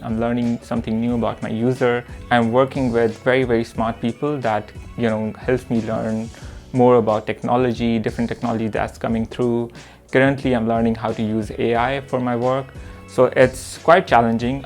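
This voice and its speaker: A young man from Pakistan introducing himself and his work as a product manager, talking in an earnest, measured way with frequent pauses. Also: medium pitch, a little mellow. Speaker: product manager and course instructor. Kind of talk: reflective self-introduction to camera.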